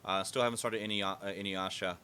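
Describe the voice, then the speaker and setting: A man hosting a casual podcast chat, animated and swearing casually as he talks. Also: on the low side, a little fast, smooth. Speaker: podcast host.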